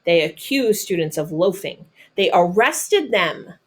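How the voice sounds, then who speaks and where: A woman reads a children's picture book aloud, deliberately, adding simple explanations in her own words as if talking to children. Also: lowish voice, lively intonation. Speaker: storybook reader.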